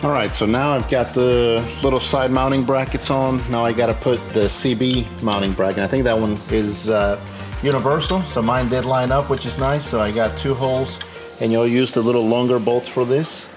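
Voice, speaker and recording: A man's voice: mid-range voice, steady tone, moderate pace, over music. A man hosting a casual how-to video, thinking aloud informally as he works through an installation. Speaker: host of a car modification tutorial. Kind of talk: casual hands-on how-to narration while working.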